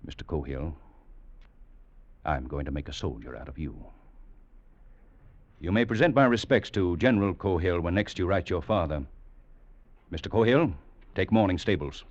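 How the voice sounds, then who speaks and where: An actor playing a stern, tired middle-aged cavalry captain in 1940s radio drama, speaking in a clipped, formal, lecturing way. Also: male voice, deep voice, expressive, normal speed, pauses often, no echo. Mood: grave, authoritative. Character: stern, tired, gray-faced cavalry captain, seasoned commander. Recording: dull vintage radio recording.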